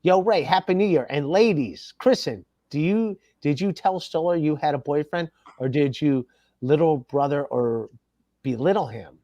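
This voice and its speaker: A man's voice: a little high, moderate pace, mellow, dry recording, highs cut off. A man hosting a podcast in casual, profane chat, rambling as he reads viewer comments aloud. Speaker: podcast host. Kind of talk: casual chat reading super chats aloud.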